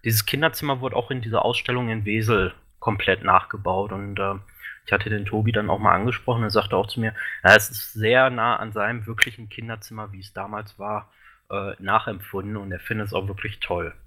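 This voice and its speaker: A man's voice: on the low side, steady tone, a little fast, bright, forceful tone. A man in a casual podcast discussion, rambling earnestly with many fillers.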